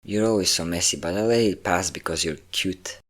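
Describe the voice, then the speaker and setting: A man's voice: deep voice, brisk, fairly bright tone. A man playing a centuries-old, possessive and doting vampire captor in an intimate audio roleplay, coaxing and teasing his listener.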